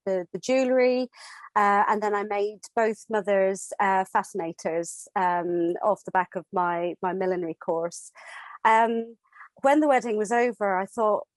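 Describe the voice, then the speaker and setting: A woman in her forties from Dublin, speaking English with no Irish accent, telling her story as a podcast guest, halting and full of fillers. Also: medium pitch, no room sound. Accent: none; anglicised English. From Ireland, Dublin. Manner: hesitant, many fillers and restarts. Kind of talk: casual interview chat.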